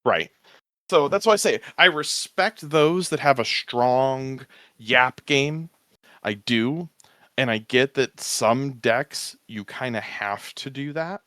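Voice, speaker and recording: A man guest talking easygoing and rambling about his commentary work, with a smooth voice. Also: mid-range voice, expressive, slow, pushed and bright, no room sound, studio quality. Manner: easygoing, rambling, restarts with fillers. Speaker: podcast guest, tournament commentator. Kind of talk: casual interview chat.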